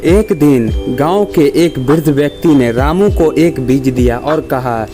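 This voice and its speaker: A man reading scripted moral stories for children in a simple, measured storybook manner. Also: on the high side, music in the background.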